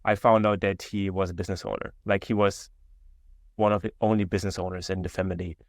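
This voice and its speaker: A young German-accented man talks easily on a video call, his voice a little low and dry. He wanders through his answers with plenty of "um" and "like", restarting as he goes. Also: quick pace, no room sound. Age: 20s. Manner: relaxed, many fillers and restarts. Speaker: guest entrepreneur. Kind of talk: casual interview chat. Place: indoor room.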